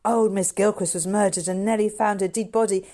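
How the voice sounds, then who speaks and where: A woman voicing an excitable, chatty young boy who explains things eagerly in a storybook mystery. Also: mid-range voice, brisk, pitched above the performer's own voice, close to an everyday voice. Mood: excited.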